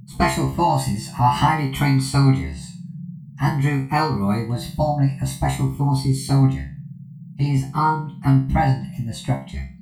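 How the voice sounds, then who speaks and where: A man voicing a calm, clinical computer assistant that speaks formally and impassively in an audio drama. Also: medium pitch, unhurried, gravelly, reverberant, over loud music, rough recording. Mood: impassive alert. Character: calm, clinical artificial intelligence assistant giving threat warnings.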